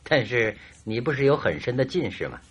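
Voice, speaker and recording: A man's voice: mid-range voice, sing-song, fairly quick, a bit bright, slightly rough, exaggerated voice. An actor playing a genial, courteous, inquisitive male detective in a radio drama, musing politely. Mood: genial, observant.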